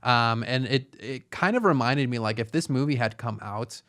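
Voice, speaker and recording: A man with a smooth, clear voice talks as a podcast co-host reviewing films. His delivery is casual and conversational, with mid-range pitch and loose, everyday phrasing. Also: moderate pace, fairly bright tone. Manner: informal, conversational, frequent "like" and "kinda". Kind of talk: casual movie review chat.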